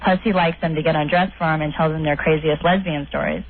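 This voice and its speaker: A woman's voice: sits low, fairly even intonation, quick pace. A young woman speaks as a caller to a radio show, earnest and persistent in a casual, unscripted way. Her voice comes over a slightly muffled phone connection with faint noise. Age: young adult. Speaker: phone-in caller, college student. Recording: dull, faintly noisy phone call.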